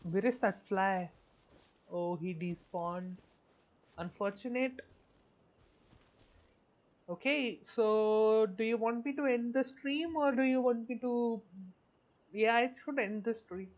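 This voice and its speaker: A woman's voice: on the high side, takes it slowly, long pauses, a little mellow, highs cut off. A woman chatting casually on a game livestream, thinking aloud in a hesitant way. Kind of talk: casual livestream chat while gaming.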